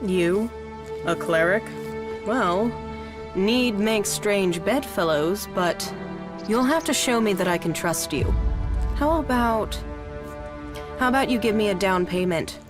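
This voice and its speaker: A woman's voice: mid-range voice, lively intonation, slow pace, pauses often. An actress playing a wary, tough, streetwise female arms dealer in a video game, with a sardonic, bargaining manner. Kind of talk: video game dialogue acting. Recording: music under the voice.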